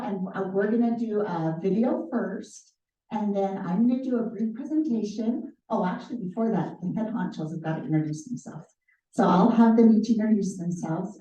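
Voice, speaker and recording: A woman's voice: mid-range voice, mellow. A middle-aged woman mayor giving a chatty, upbeat welcome at a community meeting, laughing easily. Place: city hall community room. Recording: echoey room, far from the mic, dull.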